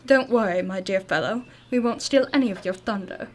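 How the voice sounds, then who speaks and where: A woman's voice: medium pitch, a little slow, a little hiss or hum, natural voice. A woman acting a genial, loyal doctor and detective's companion in a radio drama, polite and old-fashioned. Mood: friendly.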